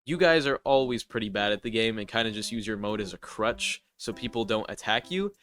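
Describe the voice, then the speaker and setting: A man's voice: fairly low pitch, animated, a little fast, fairly bright tone. Congested man roasting players sarcastically. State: congested, nasal from allergies. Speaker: gaming YouTuber. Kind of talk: scripted voice-over commentary.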